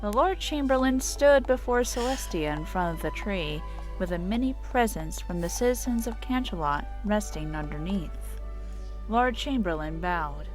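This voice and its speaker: A woman's voice: medium pitch, expressive, moderate pace, poor audio. Female storyteller, mid-range voice, scripted dramatic narration, faint music bed.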